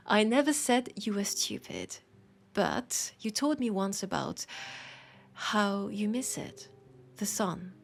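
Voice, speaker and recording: Intimate roleplay: female actor as gently teasing mortal bookseller, French accent, mid pitch, unhurried delivery, music underneath. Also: sing-song, creaky, exaggerated voice. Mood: worried, tender. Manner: soft, hesitant, gently teasing, unhurried with pauses. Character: warm, teasing, worried mortal bookseller woman, fond of a vampire. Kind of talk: intimate scripted roleplay audio.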